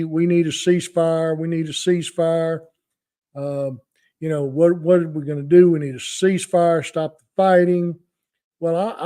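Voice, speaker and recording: A man in his sixties talking off the cuff on a podcast, with a halting, folksy, earnest manner. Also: fairly high pitch, mellow. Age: 60s. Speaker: podcast co-host. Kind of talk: unscripted talk, opinion and testimonial.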